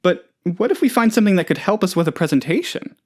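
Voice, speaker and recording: Goofy excitable office boss, video game character, highish pitch, very animated intonation, rambling, gullible, hesitant. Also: male voice, fast talker. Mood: eager. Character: naive, excitable, oddball male office boss.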